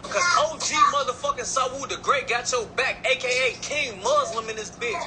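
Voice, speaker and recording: A man's voice: very high voice, a little fast, over loud music. A man speaking as a livestream guest, brash, profane and insistent, repeating himself. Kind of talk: loud casual livestream chat.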